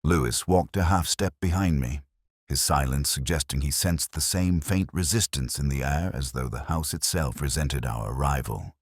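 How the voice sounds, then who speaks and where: A man playing a melancholy, reflective middle-aged police inspector, narrating a detective story in measured, literary phrases. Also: extremely low pitch, husky, no echo, clean studio sound, exaggerated voice. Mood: brooding, observant. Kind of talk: scripted first-person detective story narration.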